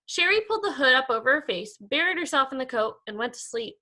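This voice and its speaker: A woman with a bright, high voice reads to young children as their teacher. Her delivery is expressive and very melodic, moving freely up and down as she tells the story. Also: fast talker. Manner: expressive, addressing young pupils. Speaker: elementary school teacher. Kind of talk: animated children's read-aloud.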